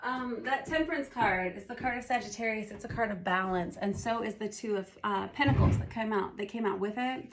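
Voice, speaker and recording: Hoarse, gravelly woman reading tarot. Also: medium pitch. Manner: unhurried, thinking aloud as she reads. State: hoarse, losing her voice. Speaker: tarot reader. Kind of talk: casual spoken tarot reading.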